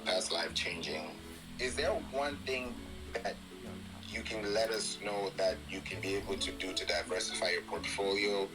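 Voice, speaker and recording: A man's voice: low voice, normal speed, low-quality recording. A man interviewing in a casual podcast conversation. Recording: music clearly audible behind the voice.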